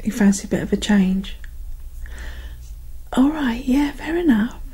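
A woman in her thirties speaking softly and gently in a casual ASMR roleplay as a barber, often close to a whisper, near the mic at home. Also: fairly high pitch, animated, breathy edge. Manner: gentle, unhurried, casual one-sided chat. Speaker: ASMR performer playing a barber. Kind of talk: soft-spoken ASMR personal-attention roleplay. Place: living room at home.

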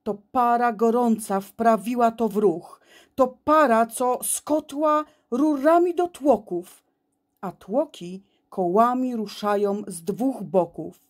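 Lady reading a kids poem, theatrical, high voice, really slow. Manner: theatrical, deliberate, dramatic pauses. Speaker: poem reader. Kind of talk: expressive children's poetry recitation.

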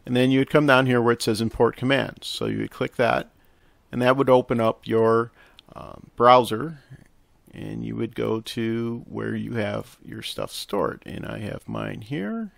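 A man narrating a casual software tutorial, explaining step by step in an instructional way with hesitant pauses. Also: medium pitch, creaky, no echo. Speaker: tutorial host. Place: close, dry indoor voice-over for a screen recording.

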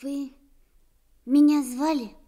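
Polite timid little boy, radio drama, high soft mellow voice. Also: flat delivery, takes it slowly. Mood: shy, hushed.

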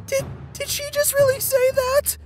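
A man's voice: extremely high pitch, completely flat delivery, a little slow, faint music behind, pitched above the performer's own voice. A man voicing a naive, timid young dragon, pleading and hesitant, in a cartoon comic dub. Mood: panicked pleading. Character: dim, sweet, timid young male golden dragon head.

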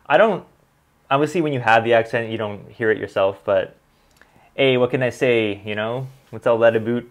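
A man in his thirties with a Canadian accent, chatting casually as a channel host, relaxed and full of ums as he reads comments and tastes whiskey. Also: medium pitch, moderate pace. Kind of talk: casual vlog, reading comments and tasting. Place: small home studio with bottles on a desk.

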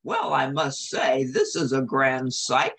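A woman's voice: a bass voice, fairly even intonation, takes it slowly, fairly bright tone, exaggerated voice. A woman voicing a proper male dog neighbour in a storybook, formal and quaint. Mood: amused surprise.

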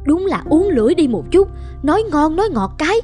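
An animated, sharp-tongued wife. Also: female voice, high voice, fast talker. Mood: pleased, sly. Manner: catty, expressive. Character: sharp-tongued, gossipy middle-aged wife. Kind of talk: dubbed cartoon folk-tale acting. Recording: music behind the voice.

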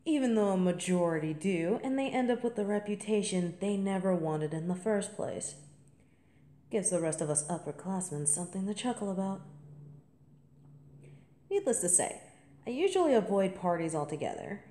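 A woman playing a confident, playful college senior in an intimate, seductive audio roleplay monologue, teasing and at times breathy. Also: medium pitch, dark tone, roomy sound, lightly acted. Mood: amused, confiding.

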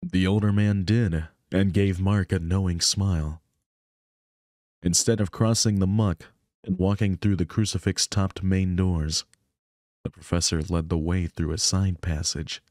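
Guy with a really low voice reading a visual novel out loud, dry close sound. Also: fairly even intonation, unhurried, no echo. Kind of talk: scripted narration with offhand asides.

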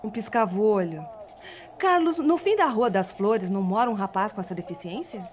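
Audio drama: actress as measured, formal female sergeant, medium pitch, businesslike. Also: animated, a little hiss or hum, natural voice. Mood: calm, official.

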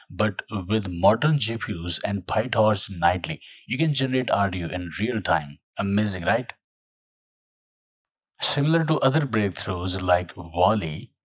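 A man narrating a software tutorial in a steady, scripted, explanatory way. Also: fairly low pitch, slightly rough, dry recording.

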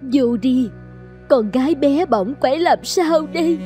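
Worried fairy tale mom, high voice, fretful, pleading, cartoon, soft music behind. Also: female voice, lively intonation, takes it slowly. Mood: distraught. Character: anxious, devoted wealthy mother in a fairy tale. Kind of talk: cartoon dubbing.

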